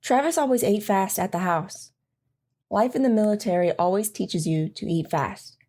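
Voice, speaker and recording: A woman playing a devoted military wife in an audio drama, gently and wistfully recalling life with her husband. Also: medium pitch, moderate pace, lightly acted. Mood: fond, reflective. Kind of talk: audio drama acting, reminiscing narration.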